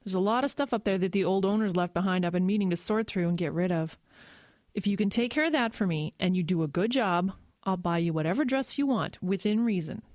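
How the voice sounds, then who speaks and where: A woman playing a firm, patient mother in an audio drama, speaking in a measured way. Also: mid-range voice, a little mellow, no echo, clean studio sound, lightly acted.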